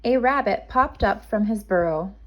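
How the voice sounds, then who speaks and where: A woman reading a children's storybook aloud as a kindergarten teacher, expressive and measured for young listeners. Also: medium pitch, dull audio.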